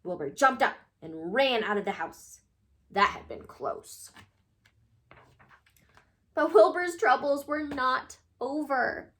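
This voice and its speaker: Animated, high-voiced woman reading a story. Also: slow pace, long pauses. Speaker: read-aloud storyteller. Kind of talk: expressive children's story narration.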